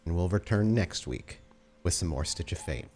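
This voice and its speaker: A man's voice: sits low, expressive, moderate pace, a little mellow. A man narrating a tabletop roleplay show as its storyteller and game master.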